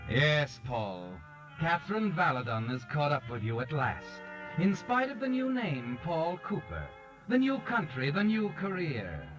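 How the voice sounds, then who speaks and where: Sinister male narrator, 1940s radio mystery; lightish pitch, taunting delivery, dull recording over prominent music. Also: wildly swooping pitch, a little slow. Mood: knowing, sinister. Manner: taunting, dramatic second-person storytelling. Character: sinister all-knowing male narrator addressing the guilty. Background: dramatic music.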